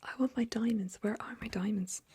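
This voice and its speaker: A woman's voice: brisk, warm tone, lightly acted. A woman whispering as a shrieking old duchess. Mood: demanding. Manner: whispered, shrill and demanding. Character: shrieking older duchess, former opera singer.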